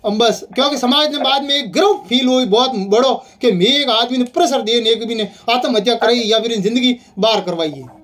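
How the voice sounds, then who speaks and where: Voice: mid-range voice, a little fast, fairly bright tone. A speaker with a Rajasthani accent arguing heatedly and insistently on a phone call, often repeating points. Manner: insistent, repetitive.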